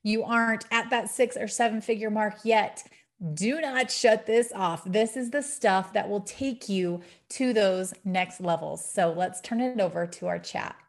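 A woman's voice: medium pitch, a little slow. Enthusiastic woman hosting a podcast. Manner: enthusiastic and encouraging. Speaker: podcast host. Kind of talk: upbeat interview hosting.

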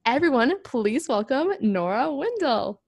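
A woman's voice: high voice, animated, unhurried, creaky, darkish tone. Chatty young woman podcast host. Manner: chatty and upbeat, peppered with "like". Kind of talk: casual podcast chat. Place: office in an apartment.

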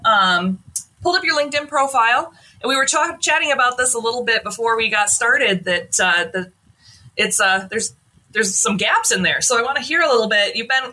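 A woman's voice: on the high side, pushed and bright. A young woman hosting a podcast, chatty and full of fillers as she introduces her guest. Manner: chatty, many fillers and restarts. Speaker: podcast host. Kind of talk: casual interview intro.

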